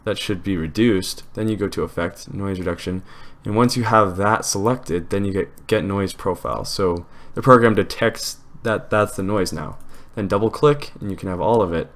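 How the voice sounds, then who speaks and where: Very low-voiced man giving casual tutorial. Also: moderate pace, few pauses. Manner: informal, step-by-step explaining. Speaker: tutorial host.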